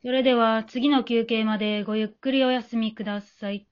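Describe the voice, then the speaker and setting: A woman playing a polite night-bus driver, making formal, measured announcements in a radio drama. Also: on the high side, steady tone, smooth, close to an everyday voice. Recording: dull lo-fi remote home recording.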